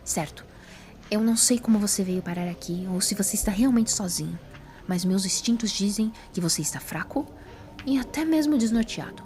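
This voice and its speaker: A woman's voice: mid-range voice, normal speed, a little mellow, close to an everyday voice. Woman playing a resolute time-travelling heroine. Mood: defiant, resolute. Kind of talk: audio drama acting.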